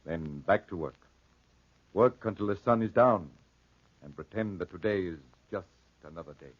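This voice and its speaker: A man playing a stern, honor-bound husband in 1940s radio drama style, firm and quietly menacing. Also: deep voice, expressive, normal speed. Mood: quiet menace. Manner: firm, authoritative. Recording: muffled old radio recording.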